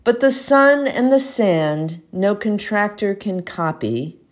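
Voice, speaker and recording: A woman reciting a poem aloud as an amateur reader, with measured, expressive phrasing. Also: medium pitch. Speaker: community poetry reader. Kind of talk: poetry recitation.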